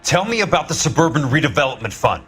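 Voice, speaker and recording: A man's voice: on the high side, sing-song, fast, fairly bright tone, breathy edge, faint music behind, exaggerated voice. An actor playing a relentless male investigator in a video game, terse, commanding and confrontational. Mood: pressing. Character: hard, blunt male investigator, relentless and accusing. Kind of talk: dramatic video game acting.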